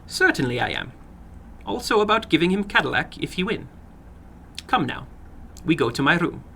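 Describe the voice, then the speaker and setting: Man voicing an eager, high-pitched little foreign gambler. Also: sing-song, gravelly, sharp tone, slight background noise, exaggerated voice. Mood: eager, brisk. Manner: halting broken English with searching pauses. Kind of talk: dramatic horror story reading.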